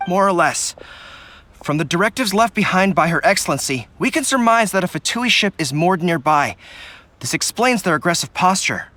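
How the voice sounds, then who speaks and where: A man's voice: sits high, big pitch swings, normal speed, bright, forceful tone, heavily acted. A man voicing an earnest young resistance general giving tactical orders in a video game. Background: game music.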